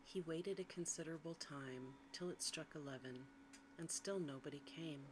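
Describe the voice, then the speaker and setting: A woman reads a bedtime story in ASMR style, in a soft, breathy, hushed and soothing whisper. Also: low-pitched, moderate pace. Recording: clearly audible background noise.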